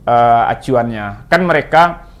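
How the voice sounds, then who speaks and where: Minang-accented man explaining calmly. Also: mid-range voice. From West Sumatra, Indonesia. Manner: measured, explanatory, hesitant fillers. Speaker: language expert guest. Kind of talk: talk show discussion.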